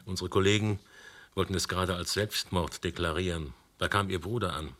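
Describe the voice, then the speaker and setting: A middle-aged police commissioner in a radio drama. His voice is low and bright with a forceful edge. He is commanding and sardonic, measuring out his words like someone used to being obeyed. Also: fairly quick. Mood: dry, weary. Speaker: police commissioner questioning witnesses. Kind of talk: German radio play acting.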